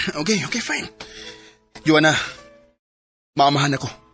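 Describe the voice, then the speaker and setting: A man's voice: on the high side, expressive, slow pace, pushed and bright, husky, faint music behind, heavily acted. A man playing a blunt young boyfriend breaking up in a radio drama. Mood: cold.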